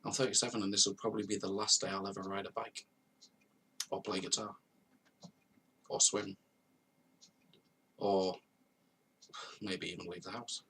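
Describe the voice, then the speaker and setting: A man's voice: low voice, flat delivery, moderate pace, long pauses, bright, forceful tone, far from the mic, slight background noise. A man in his thirties telling his own story to camera in an earnest, confessional way, repeating phrases for emphasis. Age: 30s. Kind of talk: personal testimony to camera. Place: at home, on a video call setup.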